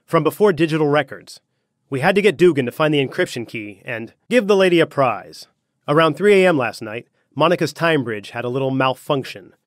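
A man's voice: mid-range voice, no room sound, natural voice. A male actor plays a middle-aged scientist who was once a superhero. He speaks in earnest, articulate sentences with serious purpose, as in a dramatic video game. The sound is close and dry.

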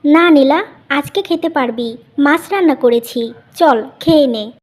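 High-voiced, patient elder sister played by a woman. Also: unhurried. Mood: reassuring. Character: patient, sensible elder sister and gentle young wife. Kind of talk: Bengali cartoon story voice acting.

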